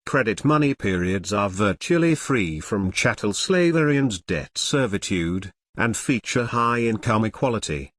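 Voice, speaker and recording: Man narrating, earnest and varied in pitch. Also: expressive, normal speed, few pauses, a bit bright. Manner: earnest, didactic, formal. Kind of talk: scripted explainer narration.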